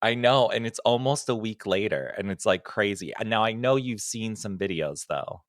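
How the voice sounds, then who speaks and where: Effusive low-voiced male podcast co-host. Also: animated, moderate pace, no room sound, studio quality. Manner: effusive, campy, says "honey". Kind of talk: casual chat. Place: Los Angeles.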